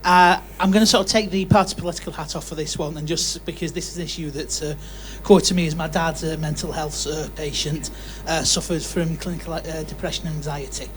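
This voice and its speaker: A man's voice: on the high side, steady tone, fairly quick, few pauses. A young man putting an earnest, unscripted question from the audience at a public Q&A. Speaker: audience questioner, political campaigner. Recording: faint hum and room noise.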